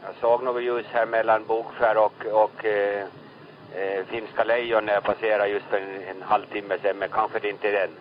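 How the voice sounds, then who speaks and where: A man with a low-leaning, monotone voice talks as a ship's radio operator. He reports to another vessel through a muffled marine radio. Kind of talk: maritime radio traffic. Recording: dull lo-fi marine radio recording.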